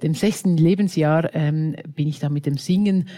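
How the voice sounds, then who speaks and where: A middle-aged Swiss woman talks in a Swiss German accent, her voice warm and dark in tone. She pauses and restarts often, filling gaps as she recalls things during an interview. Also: deep voice. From Switzerland. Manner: hesitant, many fillers and restarts. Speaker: singer, interview guest. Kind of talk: casual interview.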